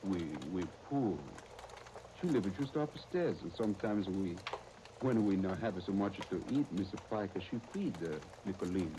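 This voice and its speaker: An actor playing a lovable old Italian goldsmith in a 1930s radio soap, speaking halting, broken English humbly and gratefully. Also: male voice, fairly low pitch, animated, lightly acted. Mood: humble, hesitant. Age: older. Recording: noisy 1939 radio disc recording.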